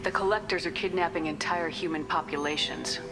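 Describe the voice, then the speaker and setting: A woman's voice: fairly low pitch, fairly quick, over music, lightly acted. A woman playing a resolute military commander in a video game, direct, assured and businesslike. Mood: firm, businesslike.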